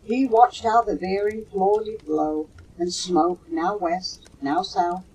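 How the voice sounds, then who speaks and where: An older woman with a husky voice, reciting poetry slowly and expressively on a low-fidelity home cassette recording. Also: fairly even intonation, darkish tone, low-quality recording. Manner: slow, measured, dramatic storytelling delivery. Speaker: mother reading poems for her children.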